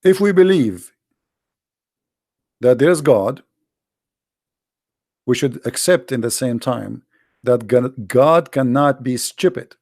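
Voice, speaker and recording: A man's voice: mid-range voice, expressive, slow, pauses often, a little mellow, no room sound, studio quality. A man with an Arabic accent, a native Arabic speaker, hosting an informal, impassioned monologue in a blunt, emphatic manner with repeated phrases and rhetorical questions. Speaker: host, religious polemicist.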